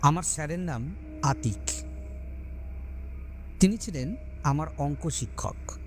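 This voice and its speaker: A man narrating a scripted horror story as host, dramatic, with deliberate pauses between phrases. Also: on the high side, expressive. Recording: background music under the voice. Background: scary music.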